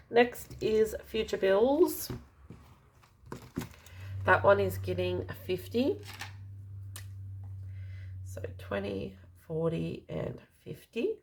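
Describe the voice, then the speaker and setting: An Australian woman with a slightly croaky, dry voice, casually narrating as she counts out cash in an unhurried way. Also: lowish voice, darkish tone, slight background noise. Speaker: budgeting vlogger.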